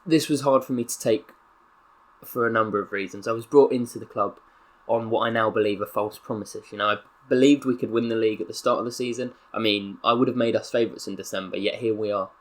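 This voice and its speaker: A young man with a Southern English accent reads out a mock press statement in a low, earnest voice. His delivery is deliberately solemn, slipping now and then into excitable asides. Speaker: gaming YouTuber. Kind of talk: role-playing a footballer's press statement.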